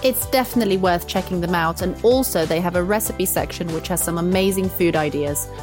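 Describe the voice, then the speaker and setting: A woman's voice: on the low side, brisk, music in the background. Upbeat woman co-hosting a cooking podcast. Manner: upbeat, scripted delivery. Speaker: podcast co-host, plant-based chef.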